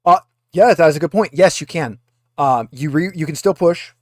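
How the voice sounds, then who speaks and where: A man running a tabletop game as game master, narrating off the cuff in a casual, halting way with stammered repeats. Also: a little high, no room sound, studio quality.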